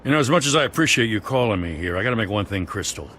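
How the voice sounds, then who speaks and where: A man playing a weary, honest middle-aged police lieutenant in a dramatic video game, blunt and plain-spoken with a gravelly voice. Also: fairly low pitch, expressive, rapid-fire, a bit bright, slight background noise. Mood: blunt, firm.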